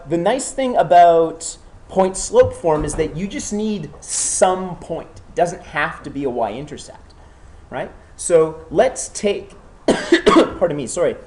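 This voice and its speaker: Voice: mid-range voice, a little mellow, slight background noise. Teacher with a cold leading class. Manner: checks in often with the class, patient and encouraging. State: has a cold. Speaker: math teacher. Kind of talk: classroom lecture with questions to students. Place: classroom with students.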